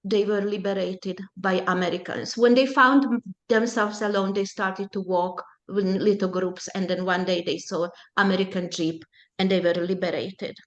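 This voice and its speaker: A woman in her seventies whose first language is Hungarian, giving a slow, deliberate personal testimony as a presenter at a support group. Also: mid-range voice, fairly even intonation, highs cut off. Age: 70s.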